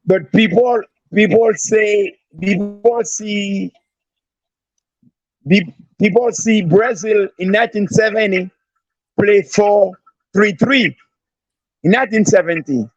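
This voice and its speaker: An older man, a former coach, recalls his playing days in a rough, gravelly voice that sits a little high. His English is halting, full of restarts, with phrases circled back to. The sound is dry and close. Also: a little mellow, no room sound, dull audio. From Haiti. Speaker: guest, former player and coach. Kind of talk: casual storytelling.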